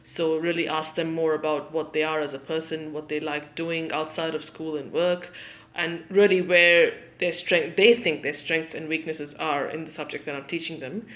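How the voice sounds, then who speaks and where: A woman, introducing herself as a teacher in a measured way, often pausing on "um" and listing things. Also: low-pitched, fairly even intonation, a bit bright. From Australia. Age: 30s. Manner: measured, with frequent ums and listing. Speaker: English teacher. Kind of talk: self-introduction to camera.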